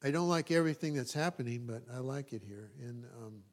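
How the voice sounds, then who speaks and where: A man with a Californian American accent giving an informal, conversational sermon as a pastor, folksy and self-deprecating, often halting and checking in with his listeners. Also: medium pitch. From California, United States.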